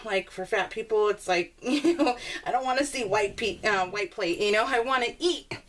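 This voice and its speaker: A woman's voice: mid-range voice, normal speed, a bit bright. A woman chatting informally and in a rambling way to her viewers as she hosts a casual crafting video. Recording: distant mic with faint background noise.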